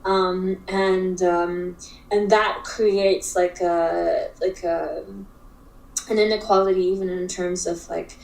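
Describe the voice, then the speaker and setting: A young woman from Africa, a guest in a casual interview, speaks in halting phrases full of fillers and restarts. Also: on the low side, little pitch movement, creaky, darkish tone. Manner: halting, filler-heavy speech with frequent restarts. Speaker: interview guest, digital art expert. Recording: roomy echo.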